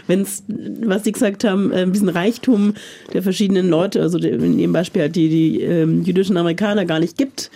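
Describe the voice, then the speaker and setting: A woman's voice: on the low side, fairly even intonation, fairly quick, creaky, warm tone. A woman interviewer asking long, conversational questions with small hesitations and restarts. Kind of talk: radio-style interview questions.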